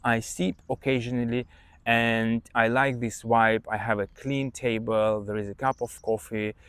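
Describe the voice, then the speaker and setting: A man's voice: mid-range voice, steady tone, measured pace, a bit bright, smooth, no echo. Russian-accented man giving study advice. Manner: chatty, emphatic, checks in with "yeah?". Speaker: podcast host, English teacher. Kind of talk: casual advice monologue.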